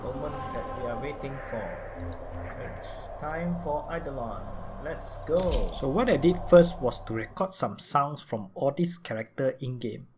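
A man's voice: medium pitch, lively intonation, measured pace, background noise. A man narrating a scripted gaming tutorial as a video creator.

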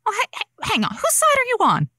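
A woman's voice: very high voice, wildly swooping pitch, a little fast, sharp tone, dry recording, full character voice. A woman playing a witty, teasing late-night radio host in an audio drama. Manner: witty, playful, sultry on air. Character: Josie, sultry radio host, also playing Joanne. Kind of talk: semi-scripted audio drama.

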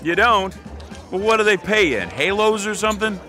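Radio drama: cocky American hoodlum, slangy and greedy, highish lively voice over music. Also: male voice, fairly quick. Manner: brash, slangy wise-guy talk. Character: cocky small-time male hoodlum, slangy, greedy, brash womanizer.

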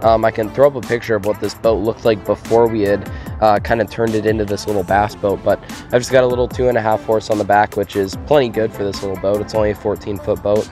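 Young American male vlogger, lowish hoarse voice, casual outdoor delivery, music behind. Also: flat delivery, fast talker, darkish tone. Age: young adult. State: hoarse from a cold, losing his voice. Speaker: fishing vlogger. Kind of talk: casual vlog chat. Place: outdoors on a small boat on a lake.

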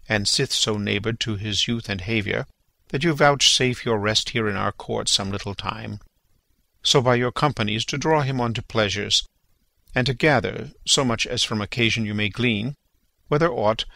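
A man acting a gravelly, stately king. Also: fairly low pitch, pushed and bright, dry recording. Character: stately, commanding middle-aged king. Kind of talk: classical dramatic reading.

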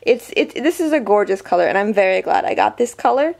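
A woman's voice: medium pitch, lively intonation, fairly quick, gravelly, darkish tone. A woman vlogger chatting casually through an unboxing review, her voice a little weak from a summer cold, full of ums and likes. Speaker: beauty vlogger.

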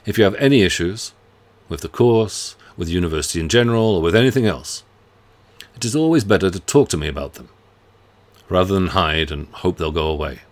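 A middle-aged man, a professor giving a scripted welcome to his students. His voice sits very low, and he speaks in a measured, earnest, friendly way. He was recorded at his desk in a book-lined home study. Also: animated. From United Kingdom. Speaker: university professor. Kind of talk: scripted self-introduction to students.

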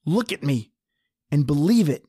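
A man's voice: a little high, expressive, moderate pace, warm tone, husky, dry recording, studio quality. A man playing a desperate, injured husband trapped underground in a horror radio drama. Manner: pleading, ragged, then defiant.

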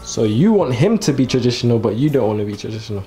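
A young British man hosts with casual, slangy talk. His voice is medium in pitch, with music clearly playing behind him. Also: big pitch swings, quick pace, mellow. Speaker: host.

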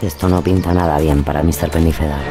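A man's voice: rumbling low, fairly even intonation, fast talker, over loud music. An actor playing a wry, observant village man.

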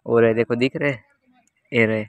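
A man's voice: mid-range voice, steady tone, moderate pace, no echo, lo-fi sound. A young man, chatting casually to viewers in a vlog. He records himself outdoors at a cricket ground, holding the camera at arm's length. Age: young adult. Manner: chatty, addresses viewers directly. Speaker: vlogger. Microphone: handheld camera at arm's length.

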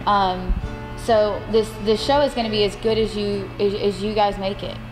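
A woman in her thirties, a high school theatre director, speaking earnestly as she coaches and explains. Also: fairly even intonation, normal speed, over music. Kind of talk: earnest coaching talk and interview. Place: empty school theatre stage and auditorium. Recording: echoey auditorium, background noise.

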